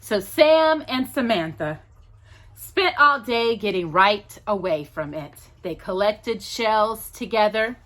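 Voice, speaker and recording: A woman reading a children's storybook aloud as a storytime host, in an expressive, drawn-out, playful manner. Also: medium pitch. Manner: animated, drawn-out delivery with playful asides to a child.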